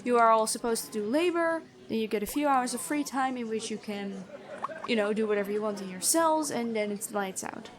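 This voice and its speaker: A woman playing a stern but kind, noble-born prison guard in a fantasy ASMR roleplay, talking in a measured, conversational way. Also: fairly high pitch, a crowd in the background, close to an everyday voice. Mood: calm, matter-of-fact.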